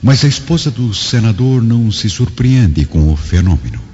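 Deep, mellow male dramatic narrator. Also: lively intonation, moderate pace. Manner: solemn, theatrical. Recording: muffled, long reverb, music behind.

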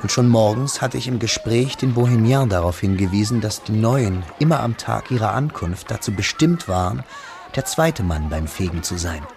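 An actor narrating a literary radio drama as a reflective, wistful man looking back on his schooldays, measured and solemn. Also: on the low side, expressive, gravelly, a little mellow, faint music behind.

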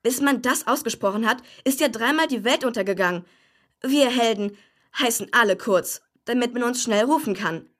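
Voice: medium pitch, normal speed, a bit bright, close to an everyday voice. A young performer playing a chatty, cocky boy superhero who likes to explain things, in a children's radio play. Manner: breezy, eager, explanatory, casual.

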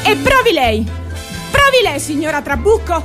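Theatre role: actress as earthy, defiant unwed mother, high and forceful voice, stubborn, plaintive edge. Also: very animated, normal speed, heavily acted. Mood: bitter, defiant. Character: coarse, defiant, wounded single mother. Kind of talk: theatrical stage acting. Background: music.

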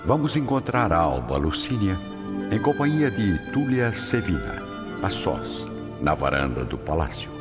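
A man's voice: sits low, big pitch swings, measured pace. A man with a Brazilian accent narrating a scripted radio drama in a dramatic style. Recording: muffled, long reverb, music behind.

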